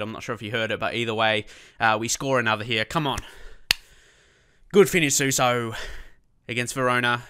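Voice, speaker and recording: A man with a cold and a blocked nose, chatting casually as he commentates his own football management game, breaking into excited shouts at goals. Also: mid-range voice, clean tone. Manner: chatty, many fillers, bursts of excitement at goals. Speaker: let's play host.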